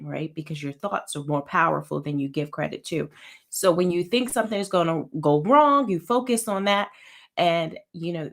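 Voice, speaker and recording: Woman clinician host, lowish voice, stuffy from a cold, chatty live-stream delivery. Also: creaky. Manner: chatty, warm greetings to viewers by name, many ums. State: head cold, a little nasal. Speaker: therapist hosting a weekly live show. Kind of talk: casual live-stream talk.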